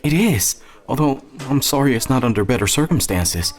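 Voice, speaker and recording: A man's voice: medium pitch, lively intonation, moderate pace, voice pushed up, lightly acted. A man voicing a kindly, affectionate older small-town woman who works at a hospital, in a light, motherly, fussing manner for an audio story. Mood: warm, fussing.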